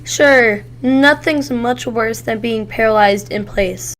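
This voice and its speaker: A woman's voice: fairly high pitch, takes it slowly. A woman playing a confident, upbeat young superheroine in an audio drama. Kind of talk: amateur audio drama acting.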